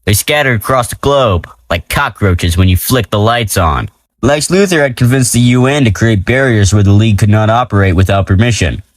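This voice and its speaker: A man's voice: fairly low pitch, expressive, moderate pace, no room sound. A man playing a cocky, self-important male superhero who explains things breezily and jokes, in an audio drama. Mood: breezy, boastful. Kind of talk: audio drama character acting.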